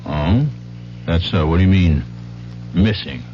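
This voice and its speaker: A man's voice: sits low, expressive, unhurried, slight background noise. An actor playing a folksy small-town police chief in a radio drama, a man asking skeptical questions. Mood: skeptical, curious. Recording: dull vintage radio broadcast, music behind.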